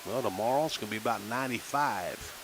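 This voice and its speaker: A man's voice: fast talker, noisy background. Folksy small-town baseball radio announcer man. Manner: relaxed folksy chatter between pitches, rising excitement on big plays. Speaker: play-by-play announcer. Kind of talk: local radio baseball commentary.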